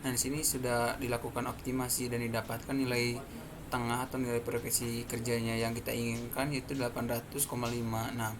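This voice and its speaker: Seorang pria muda berlogat Indonesia menjelaskan demonstrasi perangkat lunak sebagai mahasiswa presenter, bicaranya tersendat-sendat. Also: male voice, medium pitch, flat delivery, a little fast. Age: young adult. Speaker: student presenter. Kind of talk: explanatory software demonstration. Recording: clearly noisy background.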